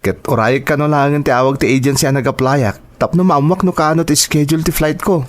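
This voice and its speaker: A man's voice: fairly high pitch, fairly even intonation, fairly quick, close to an everyday voice. A man with an Ilocano accent playing an eager, trusting son in a radio drama. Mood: earnest, pleased. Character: eager, trusting adult son planning to work abroad.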